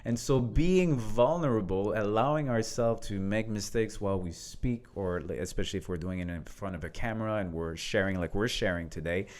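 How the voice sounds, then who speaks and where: Low-voiced man with a French accent. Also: a little fast, hardly any pauses. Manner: relaxed, reflective, laughs often. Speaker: co-host, coach. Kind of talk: casual conversation.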